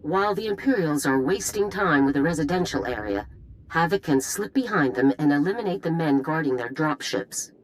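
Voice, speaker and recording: A woman's voice: low-pitched, lively intonation, brisk, slight background noise, low-quality recording. A woman voicing a stern, pragmatic middle-aged general in a video game, speaking in a clipped, authoritative way. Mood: firm, commanding.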